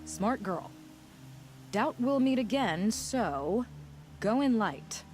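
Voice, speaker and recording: Stern warrior, lively intonation. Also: female voice, on the high side, slow. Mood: dry respect. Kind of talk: video game acting. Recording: music behind the voice.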